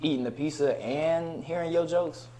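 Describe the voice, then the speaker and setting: Young man co-hosting, teasing and loud. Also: on the high side, moderate pace, low-quality recording. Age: 20s. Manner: teasing, breaks into loud excited outbursts. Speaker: podcast co-host. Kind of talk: casual joking chat.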